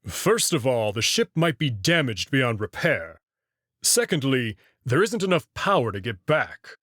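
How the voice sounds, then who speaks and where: A man's voice: mid-range voice, very animated, a little slow, fairly bright tone, dry recording, studio quality. A man voicing a proud but guarded young male wolf alien prince in a visual novel, explaining himself earnestly and reluctantly. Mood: defensive, regretful. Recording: clear music behind the voice.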